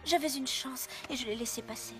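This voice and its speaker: An actress playing an earnest, self-doubting teenage fairy princess in a cartoon film. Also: female voice, high voice, a little fast, music in the background. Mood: dejected. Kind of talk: French cartoon film dub.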